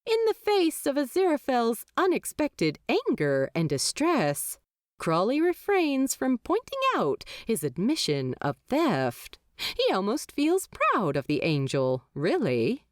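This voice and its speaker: A woman reading scripted fiction as a narrator, in an expressive storytelling style. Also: a little high, slow, no echo, studio quality. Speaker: audiobook narrator.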